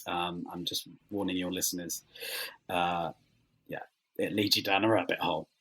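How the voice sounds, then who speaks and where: A man speaking as an interview guest, chatting casually in a halting, modest, understated way. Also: low-pitched, steady tone, a bit bright, husky.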